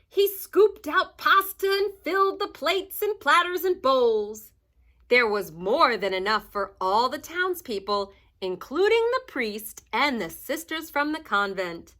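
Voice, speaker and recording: A woman with a high, very lively voice reads a picture book to young children. She is expressive and takes her time, stressing the drama like a teacher and adding asides for her listeners. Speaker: teacher reading aloud to first graders. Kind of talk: animated children's storybook read-aloud with asides to the listeners.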